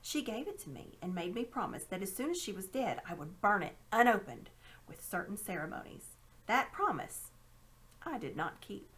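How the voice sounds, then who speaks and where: A woman acts out a self-important old gentleman from a storybook. He talks down to his listener in grand, pompous phrases, with a very animated rise and fall in pitch. His temper is smug and scheming. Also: normal speed. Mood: evasive, self-satisfied. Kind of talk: storybook read-aloud character voice.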